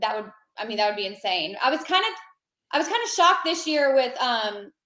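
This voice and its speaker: A woman's voice: fairly high pitch, very animated, fairly quick, a bit bright, echoey room. A woman guest chatting casually on a podcast, rambling with fillers and restarts.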